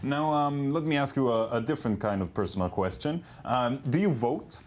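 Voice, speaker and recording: A man's voice: mid-range voice, animated, moderate pace. A man hosting a studio discussion, asking questions with brief prompts and interjections. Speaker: talk show host.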